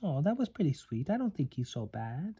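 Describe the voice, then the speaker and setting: A man's voice: fairly high pitch, sing-song, brisk, warm tone, slightly rough, dull audio. A man reading a children's storybook aloud to a small child in a dramatic, playful way.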